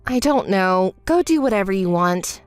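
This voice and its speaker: A woman's voice: medium pitch, expressive, moderate pace, smooth, dry recording, faint music behind, pitched below the performer's own voice, lightly acted. Woman voicing a cool, blunt young woman. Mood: dry. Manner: level, dry, scolding. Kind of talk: dramatized story voice acting.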